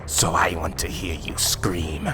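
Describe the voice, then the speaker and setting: A man's voice: low voice, little pitch movement, takes it slowly, background noise. A man playing a sadistic alien villain in an anime fan drama, taunting and theatrical with menace. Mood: menacing, gloating. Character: sadistic alien villain with vengeful grudge, possessing a father's body. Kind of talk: anime fan-fiction voice acting.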